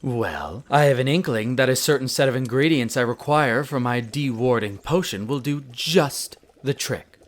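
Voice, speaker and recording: A man's voice: medium pitch, moderate pace, hardly any pauses, close to an everyday voice. A man playing a haughty, teasing elf archaeologist in a scripted audio roleplay, speaking pompously and precisely to the listener. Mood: haughty, explaining. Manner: pompous, precise, theatrical enunciation. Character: tsundere male elf archaeologist-alchemist, haughty, brisk, teasing scholar.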